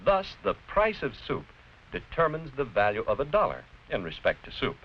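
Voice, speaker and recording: On a muffled, slightly noisy vintage classroom film soundtrack, an American man narrates from a script. His mid-pitched voice moves with animated intonation. He explains each point in a deliberate, instructive way. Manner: didactic, deliberate, clearly explanatory. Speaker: narrator-presenter of an educational film.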